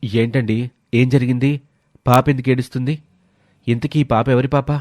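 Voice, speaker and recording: A man playing a kind, helpful village fruit seller in a moral story, speaking in an earnest, measured way. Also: mid-range voice, fairly even intonation, darkish tone, no echo, studio quality, lightly acted. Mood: concerned. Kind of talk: dramatised moral story acting.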